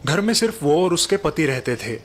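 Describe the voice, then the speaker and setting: A man's voice: a little high, moderate pace. Man narrating a bedtime story. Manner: even, explanatory narration. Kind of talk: scripted storytelling.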